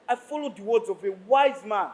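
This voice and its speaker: A man's voice: high voice, a little fast, darkish tone, natural voice. A man with a Nigerian accent acting a proud, troubled Yoruba king in a declamatory stage style. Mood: earnest. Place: stage of a live play. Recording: noisy live stage recording.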